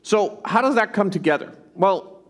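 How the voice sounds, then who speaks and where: A man from Denmark with an American accent gives a conference keynote, conversational and animated, tossing in rhetorical asides. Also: high-pitched, moderate pace. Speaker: tech company executive presenting. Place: conference stage.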